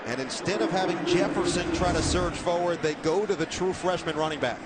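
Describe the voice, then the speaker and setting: Excitable male football play-by-play announcer. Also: high-pitched, fairly quick, heavy background noise. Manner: strong, projecting, excitable on big plays. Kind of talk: live football play-by-play commentary. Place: stadium broadcast booth.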